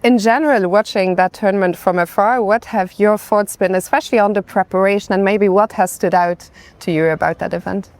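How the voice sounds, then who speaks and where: A woman's voice: medium pitch, brisk, dry recording. A woman interviewing a player after a game, asking questions in a conversational broadcast style. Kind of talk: post-game sports interview.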